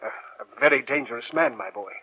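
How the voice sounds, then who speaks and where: Husky older professor in tinny old radio. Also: male voice, medium pitch, fairly quick. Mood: grave warning. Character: learned, avuncular older male professor of medicine, ominously cautioning. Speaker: actor in a radio drama. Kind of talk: 1940s radio acting. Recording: tinny, muffled 1940s radio recording.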